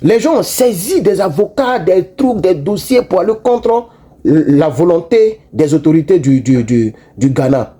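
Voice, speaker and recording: A man giving casual political commentary to his online viewers, animated and repetitive, with frequent restarts. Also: high-pitched, measured pace. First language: not French.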